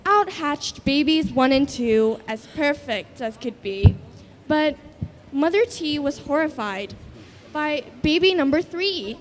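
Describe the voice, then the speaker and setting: A woman narrating a scripted children's story on stage in a deliberate, dramatic storytelling manner. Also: high voice, lively intonation.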